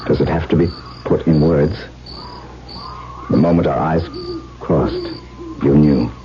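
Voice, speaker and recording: An actor playing an earnest young gentleman suitor in a 1970s radio drama, formal, measured and romantic. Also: very deep voice. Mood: ardent. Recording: muffled, noisy 1970s radio broadcast.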